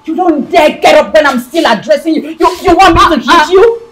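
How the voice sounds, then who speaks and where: Stuck up young nigerian princess, high pitched, bossy n contemptuous, bit of music behind. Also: female voice, brisk. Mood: commanding, enraged. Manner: imperious, scolding, contemptuous. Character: haughty, spiteful young princess, screaming insults. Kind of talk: screen drama acting.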